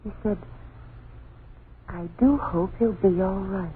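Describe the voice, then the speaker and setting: A woman's voice: medium pitch, takes it slowly, lightly acted. An actress playing an imaginative, apprehensive wife and mother in vintage radio drama style, pensive in manner. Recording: tinny, noisy old radio broadcast.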